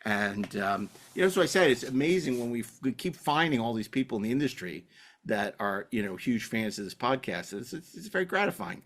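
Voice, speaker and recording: A man hosting a podcast in casual, rambling chat, halting often and repeating his words. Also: medium pitch, sing-song, fairly bright tone. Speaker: podcast host.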